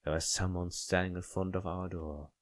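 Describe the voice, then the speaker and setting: A man's voice: low voice, flat delivery, normal speed. A man reading visual novel narration aloud, stumbling over words now and then. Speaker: game let's player reading aloud. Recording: faint background music.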